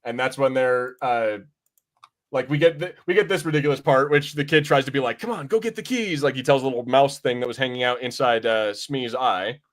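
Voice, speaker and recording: A man speaks as a podcast host in a loose review chat. His delivery is informal and rambling, peppered with swearing, at an ordinary middle pitch. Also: fairly quick.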